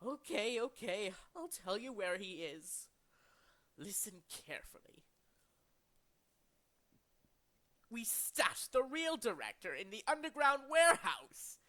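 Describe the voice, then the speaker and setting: A theatrical game villain, a smug and cowardly blusterer. His bright, forceful voice swoops up and down as he boasts and frets in hammy fashion. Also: sits high, very animated, measured pace, voice pushed up, full character voice. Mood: sly. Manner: hammy, boastful, easily flustered. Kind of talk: video game villain character acting.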